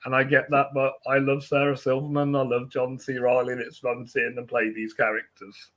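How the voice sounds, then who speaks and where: A man's voice: medium pitch, completely flat delivery, moderate pace, a bit bright. A young man co-hosting a film podcast in casual, conversational chat.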